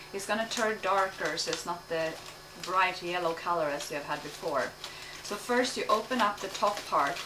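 A woman in her thirties from Sweden hosting a home cooking show, calmly explaining each step as she cooks in her kitchen. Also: fairly low pitch. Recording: echoey kitchen, distant mic, noisy.

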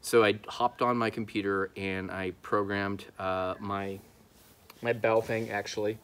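A man's voice: on the low side, level tone, a little slow, fairly bright tone, a little hiss or hum. A man in his thirties talking casually to camera, explaining his hobby as a video host outdoors. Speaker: YouTube host, amateur radio hobbyist. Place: outdoors in a backyard.

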